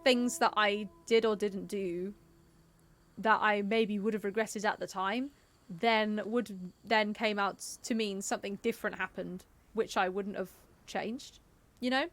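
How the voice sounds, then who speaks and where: A young woman live streaming with casual game commentary, musing out loud in a chatty, informal way. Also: medium pitch, steady tone, measured pace. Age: young adult.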